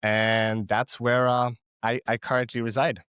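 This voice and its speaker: A man's voice: medium pitch, little pitch movement, measured pace, a bit bright, clean tone, no room sound, clean studio sound. A man in his thirties from Cyprus, a podcast guest talking casually with frequent restarts and fillers. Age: 30s. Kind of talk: casual interview chat.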